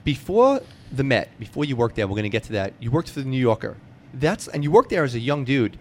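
A man's voice: mid-range voice, very animated, quick pace, slight background noise. An American man hosting a casual interview with a fan's enthusiasm, often repeating and stammering over words. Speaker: interview host. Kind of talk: casual sports-fan chat.

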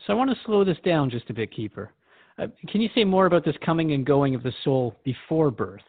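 A man's voice: on the high side, expressive, brisk, dry recording, phone-quality sound. A man playing a thoughtful, friendly radio host who asks probing questions in a conversational radio drama interview. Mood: curious, probing.

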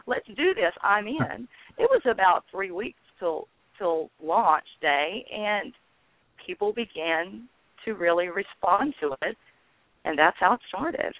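An earnest woman calls in as a guest and tells her story, pausing often with ums. Her voice comes through a narrow, tinny phone line. The sound is dry and close. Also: medium pitch, expressive, no room sound. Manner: earnest, halting with frequent ums. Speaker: guest, founder of a peace blogging network. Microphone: telephone.

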